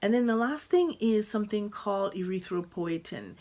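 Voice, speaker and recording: A woman's voice: medium pitch, lively intonation, moderate pace, a little mellow. A woman doctor from Guyana, explaining medical treatments informally and thinking aloud with frequent ums and restarts. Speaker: doctor and patient advocate.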